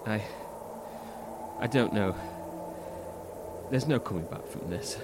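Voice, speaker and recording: A man's voice: fairly low pitch, very noisy. A man playing a dying, infected young man. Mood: pleading. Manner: halting, repeats himself, pained. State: coughing, in pain. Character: man named Roman, infected, weak and failing. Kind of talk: horror audio drama.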